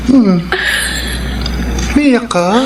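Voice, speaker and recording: A man's voice: very high-pitched, lively intonation, very slow, reverberant, far from the mic, noisy background, heavily acted. An actor playing a teasing, encouraging young Filipino man in a radio romance drama. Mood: probing, concerned.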